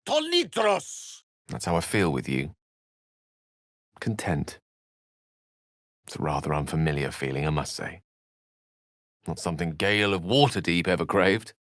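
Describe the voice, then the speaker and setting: A man's voice: low voice, huge pitch swings, unhurried, pauses often, a bit bright, dry recording, studio quality, full character voice. A man voicing an articulate, bookish human wizard in a video game, wry and gallant, with theatrical, wordy delivery. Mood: forceful, rousing, then tender, sincere. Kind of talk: video game character acting.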